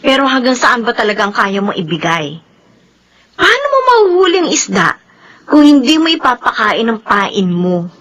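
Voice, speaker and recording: An actress playing a frank, skeptical young confidante in a Filipino radio drama, with a Filipino accent. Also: female voice, a little high, big pitch swings, normal speed, a bit bright. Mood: teasing, cautioning.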